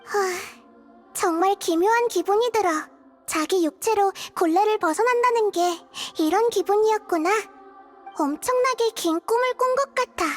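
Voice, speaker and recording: A woman voicing a gentle, thoughtful little girl god in a video game, with reflective, soft-spoken delivery. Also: very high-pitched, moderate pace, faint music behind. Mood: wondering, earnest. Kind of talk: video game character dialogue.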